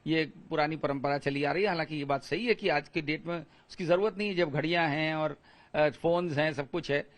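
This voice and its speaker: A man offers an unscripted opinion in an interview. His voice is a little high, and he speaks hesitantly, feeling for words as he reasons. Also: brisk.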